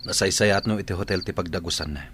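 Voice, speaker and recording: A man's voice: low-pitched, fast talker, natural voice. An actor playing a troubled young man torn between two loves, earnest and burdened, in a radio drama. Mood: uneasy. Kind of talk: Ilocano radio drama acting. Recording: noisy track with clicks and insects.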